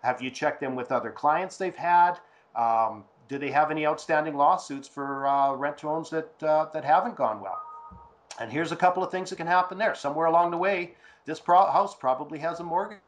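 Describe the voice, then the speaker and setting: A man with a hoarse, gravelly voice from a cold, explaining things steadily over a phone call and asking rhetorical questions. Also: on the high side, normal speed. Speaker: real estate guest expert.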